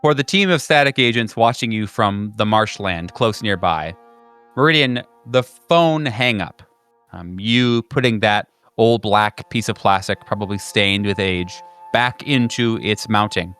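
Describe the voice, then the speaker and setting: Guy running a horror tabletop game, lowish voice, halting dramatic narration, music behind. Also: a bit bright, clear, resonant tone. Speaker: game master.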